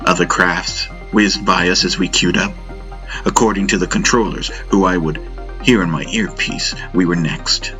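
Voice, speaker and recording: A man's voice: sits low, fairly even intonation, moderate pace, over music. A man reading scripted first-person fiction as an audiobook narrator.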